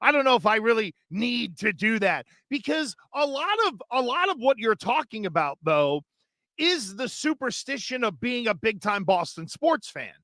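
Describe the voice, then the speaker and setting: A man co-hosting a casual sports talk show, reacting with easy agreement and giving measured opinions. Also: very high voice, sing-song, bright, forceful tone, no echo. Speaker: co-host. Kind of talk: casual sports radio chat.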